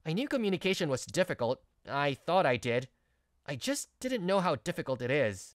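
An actor playing a mild, earnest young male office worker in a manga story dub, speaking in a sincere, measured way. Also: fairly high pitch, very animated, no echo, clean studio sound. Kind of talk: scripted manga story dub, narration and dialogue.

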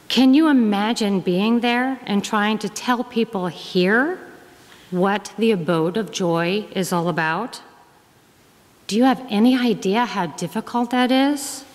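Deliberate woman reading in a reverberant hall. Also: mid-range voice. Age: middle-aged. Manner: deliberate, phrase by phrase. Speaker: guest minister. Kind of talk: measured reading aloud to a congregation. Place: large wood-panelled church hall. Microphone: lectern gooseneck microphone.

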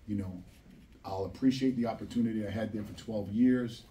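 Blunt, emphatic coach at a press conference. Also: male voice, fairly low pitch, fairly even intonation, a little fast, dark tone. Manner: blunt, emphatic, repeats phrases for stress. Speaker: head basketball coach. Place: press room at a table. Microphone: table microphone, recorded from a distant camera. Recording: distant, slightly noisy press room.

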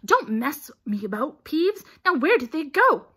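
A woman's voice: on the high side, lively intonation, slow, bright, forceful tone, full character voice. A woman voicing an irritable male caretaker in a story, demanding answers impatiently. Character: irritable adult male caretaker hunting rule-breakers.

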